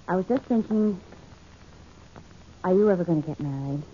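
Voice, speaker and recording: A woman's voice: lowish voice, animated, normal speed, natural voice. Through a muffled, noisy old recording comes a woman acting a spirited American girlfriend. She is pert and direct, her voice swinging freely in 1940s radio drama style. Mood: coy. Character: spirited, sharp-tongued girlfriend of a detective.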